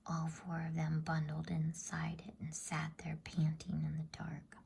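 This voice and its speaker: A woman whispering a bedtime story as ASMR in a soothing, hushed, drawn-out voice. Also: low-pitched, monotone. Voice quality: breathy whisper.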